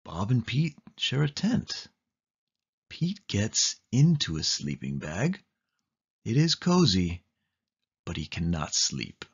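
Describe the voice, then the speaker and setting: A man reads a children's picture book aloud in an animated, expressive storytelling style with deliberate phrasing. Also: fairly low pitch, husky, dull audio. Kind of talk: animated read-aloud narration for young children.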